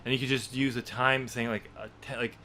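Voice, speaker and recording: A man's voice: medium pitch, fairly even intonation, brisk, pushed and bright, a little hiss or hum. A man hosting a podcast about his own novel draft, chatting casually, laughing often and rambling with lots of fillers. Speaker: podcast host and author.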